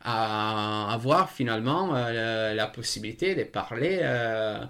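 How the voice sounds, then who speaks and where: A man whose first language is Italian, talking in a radio discussion, slow and halting with many hesitations. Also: mid-range voice, lively intonation, fairly bright tone, clean tone. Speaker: association member.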